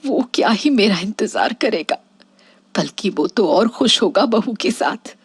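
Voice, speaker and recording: A woman playing a hurt, plain-spoken elderly mother in a Hindi cartoon story, with a husky, breathy voice. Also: medium pitch, expressive, unhurried, no room sound, full character voice. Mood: hurt, bitter.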